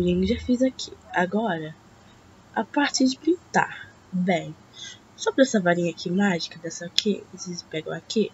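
A teenage girl from Brazil with a Brazilian Portuguese accent, hoarse from a cold, chatting hesitantly through a drawing tutorial at home. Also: medium pitch, fairly bright tone. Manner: chatty, hesitant, talks to viewers as "gente". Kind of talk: casual screen-recorded tutorial. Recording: dull lo-fi mic with faint hiss.

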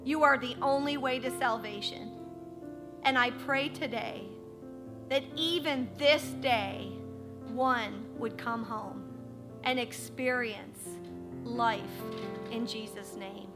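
A woman's voice: fairly high pitch, slow, over music. A middle-aged woman leading a church service from a pulpit, praying fervently and then making friendly announcements. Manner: fervent in prayer, chatty and laughing in announcements. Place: church sanctuary stage, at a wooden pulpit. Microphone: handheld microphone.

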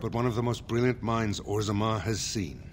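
An actor voicing a dignified older dwarf lord in a fantasy video game, speaking formally and with measured gravity. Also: male voice, on the low side, a little hiss or hum, lightly acted. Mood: grave, formal. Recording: game dialogue over prominent music. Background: loud background music.

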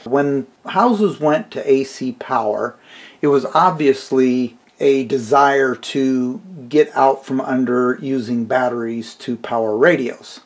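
Middle aged guy, mid pitched voice, chatting thru a hobby review off the cuff, plain spoken. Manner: conversational, unscripted, plain-spoken. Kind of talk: informal explanatory product review narration.

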